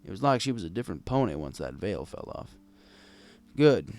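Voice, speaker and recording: A man's voice: sits low, big pitch swings, fast. A man reading a first-person story in a wry, conversational storytelling manner. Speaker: fan fiction reader.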